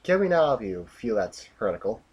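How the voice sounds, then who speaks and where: A man playing a sober, war-weary revolutionary general in an audio drama, with a husky voice and a measured, blunt manner. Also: lowish voice, very animated, a little mellow, lo-fi sound. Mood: frank, grave.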